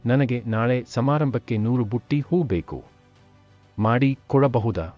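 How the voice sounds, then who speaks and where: A man playing a courteous, generous, wealthy village gentleman in an animated moral story, polite and measured. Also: medium pitch, faint music behind, natural voice. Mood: businesslike. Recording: muffled lo-fi sound.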